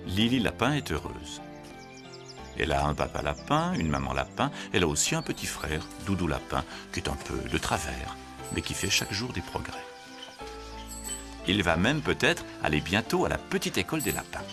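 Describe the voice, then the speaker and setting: Expressive man reading a children's story, music behind. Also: low-pitched, moderate pace. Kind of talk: scripted children's story narration.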